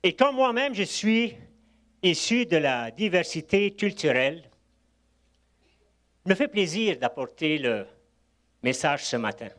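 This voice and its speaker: A man's voice: on the high side, very animated, unhurried, pauses often. An older man from Egypt, a guest preacher giving a sermon, telling anecdotes with chuckling asides. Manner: warm storytelling with asides and chuckles.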